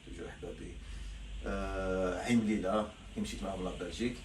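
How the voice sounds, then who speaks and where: Algerian guy, middle aged, rambles fast, kinda low voice, echoey far-off room with noise behind. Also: steady tone, darkish tone. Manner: rambling, many restarts and fillers. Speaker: football coach, interview guest. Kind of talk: casual interview, mixing Arabic and French. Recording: echoey, distant, noisy ambience.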